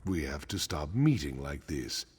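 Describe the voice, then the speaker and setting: A very husky, wry game ally. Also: male voice, rumbling low, big pitch swings, a little slow, darkish tone, heavily acted. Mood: wry, teasing. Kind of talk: scripted video game dialogue. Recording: long hall-like reverb.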